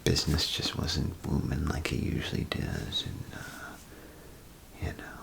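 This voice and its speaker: A man murmurs through an ASMR role play as a chatty, down-on-his-luck bathroom attendant. His voice is extremely low and often drops into a whisper. He takes his time, rambling and hesitating in a folksy, grumbling way. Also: little pitch movement, a little slow, a bit bright. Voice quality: gravelly, breathy half-whisper. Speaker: ASMR performer.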